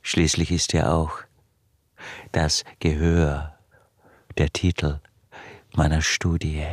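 An actor playing an obsessive recluse in a radio drama monologue, brooding and halting, with a husky, breathy voice that is often close to a whisper. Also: male voice, rumbling low, level tone, no echo, heavily acted. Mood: hushed, intent. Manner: halting, brooding, insistent repetition. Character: obsessive male recluse tormented by his acute hearing.

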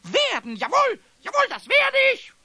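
A tiny cheeky kobold for children's radio drama: extremely high, wildly swooping, excitable, sulky. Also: male voice, moderate pace, exaggerated voice. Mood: sulky, threatening. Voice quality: squeaky, creaky voice. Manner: excitable, stuttering on words, playing with rhymes. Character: cheeky, jealous, sulky little male kobold. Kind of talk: 1970s German children's radio play acting.

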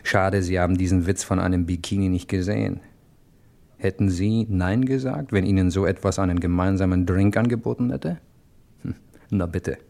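An actor playing a boastful private detective who gets flustered by women, in a German radio crime drama. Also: male voice, low-pitched, normal speed, vocal fry, warm tone. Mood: smug, confiding. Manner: hesitant and bumbling with women, smug when narrating.

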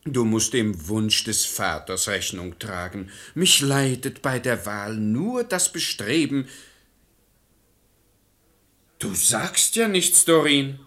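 A man's voice: mid-range voice, big pitch swings, slow pace, few pauses, sharp tone, full character voice. An actor playing a stubborn, irascible, domineering father in a theatrical radio play in verse, declaiming with pompous emphasis. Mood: insistent.

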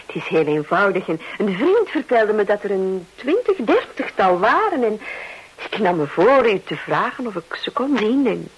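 An actress playing a nervous, earnest young art lover who speaks hesitantly and haltingly in a radio play. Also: female voice, mid-range voice, big pitch swings, husky, highs cut off. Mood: hesitant, admiring. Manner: hesitant, halting, breaking off and repeating words. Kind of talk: Dutch-language radio play acting.

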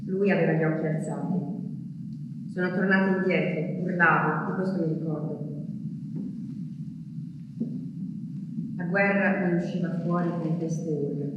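An actress playing an anguished Frenchwoman who recites her wartime diary in a grave, deliberate theatrical monologue with long pauses. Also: fairly low pitch, highs cut off, close to an everyday voice. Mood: anguished, subdued. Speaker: stage actress performing a monologue. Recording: very echoey hall, distant, music behind.

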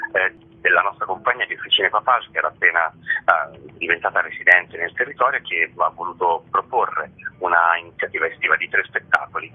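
Low-voiced man on a muffled line. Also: breathy edge. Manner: hesitant, with frequent filler words and repetitions. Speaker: festival artistic director, interview guest. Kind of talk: spoken interview, explaining an event. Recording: muffled narrow-band radio phone line.

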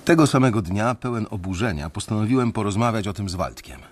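An actor narrating a radio drama in the first person as a disillusioned young male bank analyst, telling the story in a measured, reflective way. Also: on the low side, animated, slight background noise, close to an everyday voice.

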